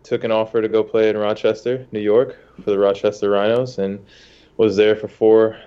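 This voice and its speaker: A man's voice: fairly low pitch, little pitch movement, moderate pace, mellow, clear, resonant tone, highs cut off. A young man from the United States, a soccer goalkeeper, telling his story in a casual interview with many fillers and restarts.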